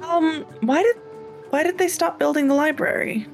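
A woman's voice: high-pitched, normal speed, vocal fry, faint music behind. A woman playing a tabletop game, playful and teasing. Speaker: player. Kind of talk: casual chat at a game table.

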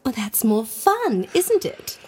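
A woman's voice: a little high, very animated, slow, darkish tone, husky, heavily acted. An actress playing an imperious, playful and callous young queen of ancient Egypt in an audio drama. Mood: teasing. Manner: haughty, teasing.

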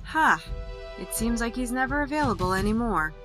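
A woman's voice: fairly high pitch, lively intonation, normal speed, fairly bright tone, faint music behind. An actress playing a young married woman who is loyal but desperate, speaking emotionally and sharply. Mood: upset. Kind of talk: audio drama acting.

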